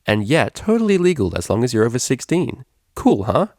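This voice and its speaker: A man's voice: medium pitch, sing-song, fairly quick, a little mellow, no echo, studio quality, pitched above the performer's own voice. A man voicing a laid-back young female unicorn DJ from a story, casual, slangy and teasing. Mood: teasing, pleased.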